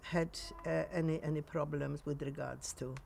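A woman in her nineties with a Polish accent, recounting memories in a halting way in a sunny garden. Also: deep voice, steady tone, creaky, darkish tone, slight background noise. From Poland. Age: 90s. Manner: halting, with frequent pauses and restarts. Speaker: interviewee.

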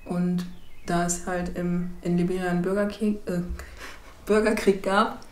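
A young woman in her twenties talking in a documentary interview, thoughtful and hesitant, often pausing to rephrase. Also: lowish voice, little pitch movement, reverberant. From Germany. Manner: thoughtful, hesitant, many fillers and restarts. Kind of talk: reflective documentary testimony. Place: small room indoors and street outdoors.

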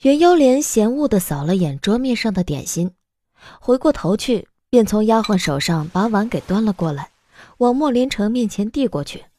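A woman narrating a scripted audio drama in an expressive storytelling manner. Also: mid-range voice, normal speed, a little hiss or hum. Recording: music bed behind the voice.

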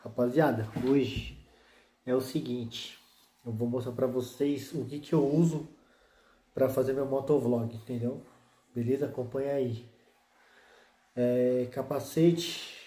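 A man's voice: mid-range voice, dark tone. A man in his thirties, casually showing his motovlog gear in a small indoor room, laid-back and full of slang fillers. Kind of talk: casual slangy show-and-tell vlog. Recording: echoey room.

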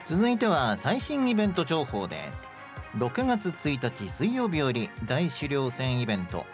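A male radio host narrates a synopsis from a script. His medium-pitched voice moves expressively, and music plays underneath. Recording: music behind the voice.

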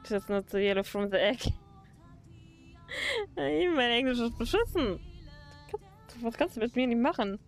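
A woman's voice: fairly high pitch, lively intonation, normal speed, pauses often, soft background music. A young woman streaming a game, chatting casually with viewers and laughing often. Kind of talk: casual let's play chat.

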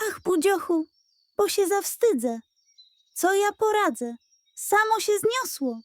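A woman voicing a proud, chatty old hen in a children's storybook, boastful and clucking, coy when flattered. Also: very high voice, takes it slowly, dry recording, clean studio sound, voice pushed up, heavily acted.

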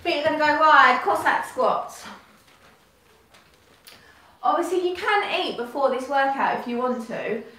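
A woman's voice: high voice, lively intonation, measured pace, long pauses, pushed and bright. A young woman fitness instructor, chatty and upbeat, cueing a workout while moving, recorded by a distant camera in a small echoey studio. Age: young adult. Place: small bright studio room. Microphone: far camera.

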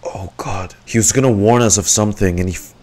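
A man's voice: lowish voice, brisk, a little mellow. A young man giving live, informal and exclamatory gameplay reaction commentary as a streamer at home. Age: young adult. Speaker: game streamer. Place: indoor room at home. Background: game music.

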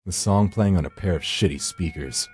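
Low, dark-voiced man narrating horror. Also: moderate pace, husky. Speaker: narrator of a visual novel. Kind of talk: first-person dramatic horror narration.

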